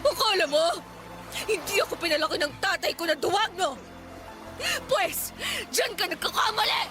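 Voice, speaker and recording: A woman's voice: very high voice, expressive, moderate pace, over music. An actress playing a betrayed young office woman, emotional and confrontational, in a Filipino radio drama. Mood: defiant. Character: pregnant, betrayed young office woman, wounded but feisty.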